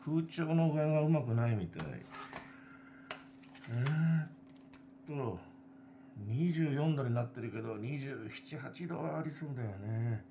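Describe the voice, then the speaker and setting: A man's voice: mid-range voice, measured pace, pauses often, noisy background, natural voice. A man playing an easygoing veteran radio producer chatting casually in a meeting.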